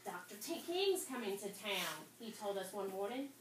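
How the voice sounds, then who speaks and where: A woman teacher reading aloud and instructing a fifth-grade class, pausing to pose questions to her students. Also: mid-range voice, big pitch swings. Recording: roomy, distant, noisy classroom recording.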